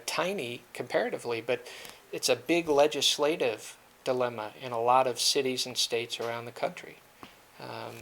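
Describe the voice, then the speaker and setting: Middle-aged male lecturer, gravelly, self-deprecating humor. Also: medium pitch, a bit bright, a little hiss or hum. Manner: joking, self-deprecating, many fillers and restarts. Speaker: guest speaker at a security seminar. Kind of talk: seminar talk with audience Q&A. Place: lecture room.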